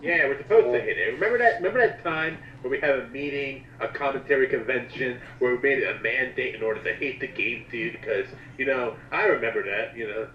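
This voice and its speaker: A man with a mid-pitched voice riffs sarcastically as a guest in a casual chat. His audio is dull and roomy, with faint noise around it. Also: brisk, hardly any pauses. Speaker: guest commentator.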